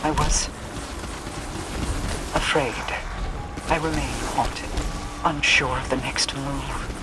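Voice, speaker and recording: A commanding, haunted queen from a dramatic video game. She speaks formally and with imperious gravity, while loud music plays over her voice. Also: very deep voice, steady tone, slow pace. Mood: haunted, solemn.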